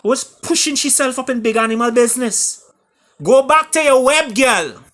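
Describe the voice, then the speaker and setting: A man voicing scornful animal busybodies in a storybook, loud and taunting, with a Caribbean accent. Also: very high voice, moderate pace, pitched above the performer's own voice. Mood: scornful jeering.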